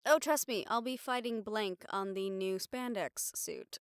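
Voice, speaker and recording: A woman acting a young reporter girlfriend in an intimate audio roleplay, wistful but bravely upbeat, musing in halting, expressive phrases. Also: medium pitch, smooth, no echo, studio quality, natural voice. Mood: wry, resigned.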